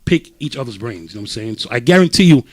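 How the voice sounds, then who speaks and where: A man's voice: medium pitch, sing-song, racing, no echo. A man in his twenties from Brooklyn, hosting a podcast panel in a loose, joking, casual way. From Brooklyn, New York. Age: 20s. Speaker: podcast host.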